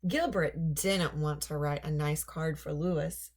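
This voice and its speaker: A woman reading a children's story aloud in an expressive, deliberate storytelling manner. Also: low voice. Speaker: storybook reader.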